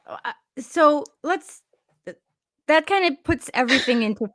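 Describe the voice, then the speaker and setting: Co-host woman, a little high, encouraging, stumbles over her words, dry sound. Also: animated, unhurried, dry recording. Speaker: podcast co-host. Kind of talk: casual interview.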